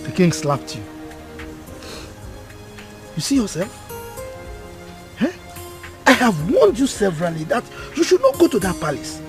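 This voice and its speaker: An actor playing a protective uncle who scolds loudly and stammers when angry. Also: male voice, high voice, huge pitch swings, a little slow, pauses often, music in the background, full character voice. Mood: scolding.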